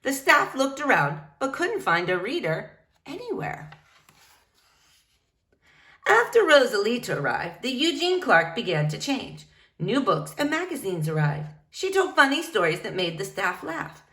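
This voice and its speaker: A woman reading a children's book aloud, narrating in an expressive storytelling manner. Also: fairly high pitch, a little slow. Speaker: storybook reader.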